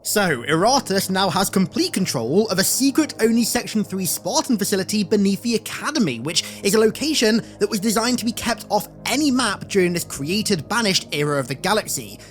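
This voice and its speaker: Lively male gaming commentator. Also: sits high, brisk, hardly any pauses, soft background music. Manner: conversational, direct address to viewers, wry asides. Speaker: gaming video creator and host. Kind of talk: casual scripted video commentary.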